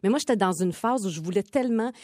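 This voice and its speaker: A woman's voice: medium pitch, lively intonation, fast talker, darkish tone, dry recording, clean studio sound. A woman in her forties with a Québécois accent, chatting candidly as a radio co-host. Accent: Québécois French. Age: 40s. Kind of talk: casual radio chat.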